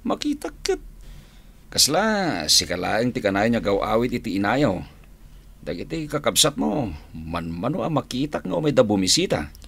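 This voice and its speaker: A man's voice: mid-range voice, big pitch swings, normal speed, vocal fry, fairly bright tone, slightly rough, heavily acted. A man playing a friendly, chatty older cousin in a radio drama, with an Ilocano accent.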